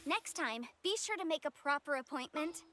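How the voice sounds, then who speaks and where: High, animated young game heroine. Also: female voice, normal speed. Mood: brisk. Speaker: game character voice. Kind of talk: video game voice acting. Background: game music.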